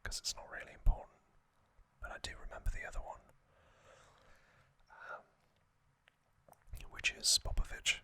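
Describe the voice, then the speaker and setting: A man hosting an ASMR video, whispering a soft, hesitant recap and correcting himself now and then. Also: a little slow, long pauses. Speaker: ASMR host.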